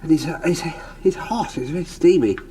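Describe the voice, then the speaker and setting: Hapless armoured enthusiast, male actor, radio farce; high-pitched, fussy, stammering, with faint noise behind. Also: lively intonation, a little fast, mellow, close to an everyday voice. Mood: flustered, panicky. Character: hapless, childishly enthusiastic man trapped in armour. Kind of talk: farcical radio sitcom acting.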